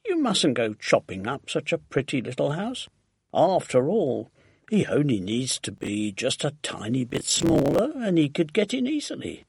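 A storybook narrator playing a mild, courteous gentleman who is polite and reassuring. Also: mid-range voice, huge pitch swings, normal speed, vocal fry, slightly rough, no echo, heavily acted.

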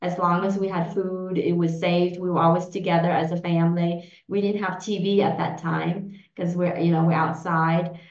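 A woman's voice: fairly low pitch, level tone, normal speed, few pauses, a little mellow. A woman in her forties from Vietnam, a native Vietnamese speaker, answering interview questions hesitantly with many fillers and restarts. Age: 40s. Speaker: podcast guest. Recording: roomy, dull lo-fi sound.

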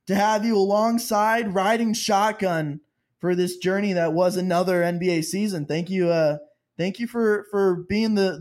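A man with a fairly high voice hosts a casual sports podcast. He teases his co-host and rushes through sponsor reads. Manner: playful, teasing, reads sponsor plugs quickly.

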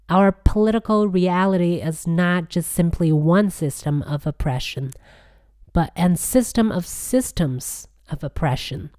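Woman podcast host, husky nasal voice, grandiose scripted comic delivery, very unhurried pace. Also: low voice, darkish tone, no echo, studio quality. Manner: grandiose, slow and deliberate.

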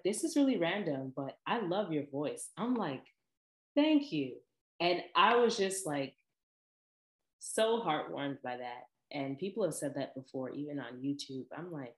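A young woman with a deep voice, chatting casually and telling personal stories to her viewers, with frequent laughs and lively asides. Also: reverberant. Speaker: vlogger. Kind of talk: casual personal storytelling to camera.

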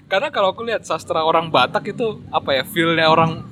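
Agreeable man, bright voice. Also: a little high, quick pace, faint music behind. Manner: agreeable, frequent short interjections. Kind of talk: casual chat. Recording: noticeable background noise.

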